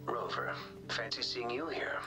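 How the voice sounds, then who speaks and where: An actor voicing a calm, analytical young male researcher in a video game, speaking in a measured, polite way. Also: mid-range voice. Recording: game dialogue over music.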